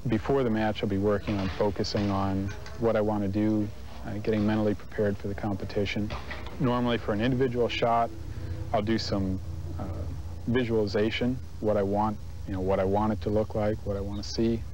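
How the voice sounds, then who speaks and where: A man's voice: lowish voice, little pitch movement, fairly quick, darkish tone, a little hiss or hum, poor audio. A man, a competitive shooter, speaking casually in an interview.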